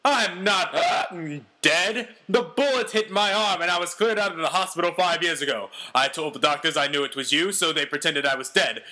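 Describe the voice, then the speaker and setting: A man's voice: fairly high pitch, moderate pace, few pauses, sharp tone. A man voicing a vengeful football rival returned from presumed death, menacing and melodramatic.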